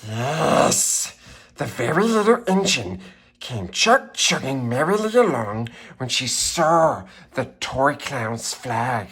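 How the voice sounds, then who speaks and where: A man voicing a wretched, throaty creature who reads a children's storybook in a theatrical croak. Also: medium pitch, very animated, slow, a bit bright, full character voice. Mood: dramatic narrating. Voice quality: raspy, strained throaty croak. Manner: theatrical, wildly swooping delivery. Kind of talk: dramatic storybook reading.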